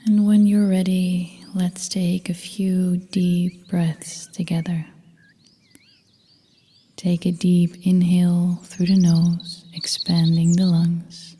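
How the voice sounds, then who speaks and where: A woman guiding a meditation as a yoga nidra instructor, speaking in a soft, breathy half-whisper with a calm, gentle, soothing manner. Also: lowish voice, slow. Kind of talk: guided meditation narration. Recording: long reverb, faint noise with birdsong.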